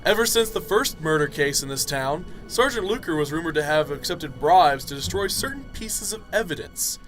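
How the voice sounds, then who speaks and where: A brisk undercover cop in a radio drama, played by a man, smug and businesslike. His voice sits fairly high, and music plays faintly under it. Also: animated, a bit bright, rough recording. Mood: satisfied. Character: brisk, no-nonsense male undercover police officer, smug. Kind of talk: amateur radio drama acting.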